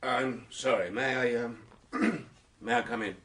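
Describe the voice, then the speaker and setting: An actor playing a subdued, ashamed British husband in a radio drama, speaking in a halting, apologetic way. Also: male voice, medium pitch, steady tone, fairly bright tone, slightly rough. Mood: nervous, apologetic. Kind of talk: BBC radio drama acting.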